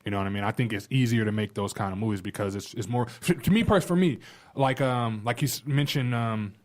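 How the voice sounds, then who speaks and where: A man's voice: mid-range voice, quick pace. A man talking casually as a podcast panellist, colloquial and opinionated. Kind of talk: casual conversation.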